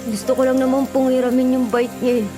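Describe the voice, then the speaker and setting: A woman's voice: high-pitched, flat delivery, fast, over music, natural voice. A woman with a Filipino accent voicing a small, innocent, mistreated orphan boy in a radio drama, childlike and pleading. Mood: meek.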